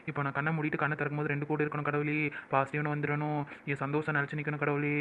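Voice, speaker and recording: Emotional young wife, played by a man: high, rapid, prayerful; lo-fi phone-like audio. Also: slight background noise, close to an everyday voice. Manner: pleading, prayerful, weepy with joy. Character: young hopeful wife, newly pregnant, emotional. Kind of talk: home-made skit acting. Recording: tinny phone-like sound.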